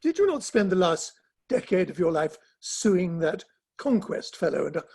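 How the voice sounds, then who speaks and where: A man as a husky, sceptical Victorian gentleman. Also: sits high, sing-song, normal speed, exaggerated voice. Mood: sceptical, cautioning. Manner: probing, polite, sceptical. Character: cautious, sceptical Victorian gentleman friend of a playwright. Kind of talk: dramatic play reading.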